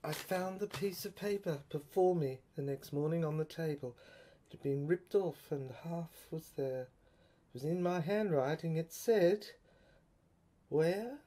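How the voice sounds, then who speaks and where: Slow, breathy woman storyteller, theatrical and halting. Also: sits low, warm tone. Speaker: narrator of a serial audio play. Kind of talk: dramatic first-person storytelling.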